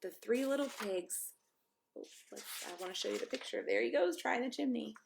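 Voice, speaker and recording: A woman's voice: on the high side, moderate pace, creaky, a little hiss or hum. A woman pastor reading a children's story aloud expressively, with chatty asides to young listeners.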